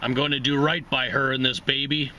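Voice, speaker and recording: A man's voice: medium pitch, little pitch movement, fairly quick, bright, forceful tone, a little hiss or hum, poor audio. A man voicing an earnest young college athlete, the new boyfriend in a short story. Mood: sincere. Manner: sincere, earnest.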